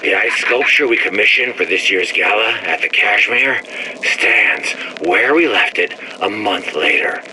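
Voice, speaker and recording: A man's voice: fairly low pitch, measured pace, low-quality recording. An actor playing a bitter, vengeful man who sneers threats at a rival in a game audio log. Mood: sneering. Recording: audio log with steady background noise.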